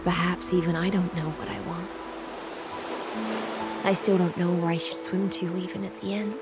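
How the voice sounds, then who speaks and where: A woman acting a wistful, resolute warrior from the sea in a video game, speaking in measured, solemn, lyrical lines. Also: lowish voice, level tone, lightly acted. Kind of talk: dramatic video game monologue. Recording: loud new-age music behind. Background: new-age music.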